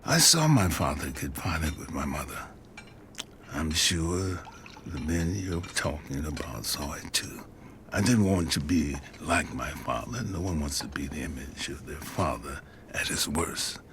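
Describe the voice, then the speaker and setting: A very low, heavy voice belongs to this weary middle-aged father in an audio drama. He speaks plainly, like one haunted by his failings, with some background ambience around him. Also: lively intonation, unhurried, a bit bright, slight background noise, heavily acted. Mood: somber, confessional.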